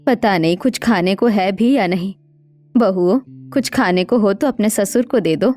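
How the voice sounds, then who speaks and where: A woman's voice: mid-range voice, moderate pace, mellow, soft background music, lightly acted. A woman voicing a worn-out, anxious old mother-in-law in a cartoon story, with a plaintive, dramatic delivery. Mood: lamenting, weary. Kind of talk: Hindi cartoon story dialogue.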